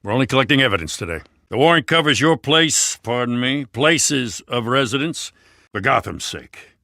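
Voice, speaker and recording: An actor playing a world-weary, honest city cop in a video game, wry, dutiful and matter-of-fact. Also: male voice, medium pitch, animated, moderate pace, vocal fry, sharp tone, no room sound, studio quality. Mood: reluctant, official.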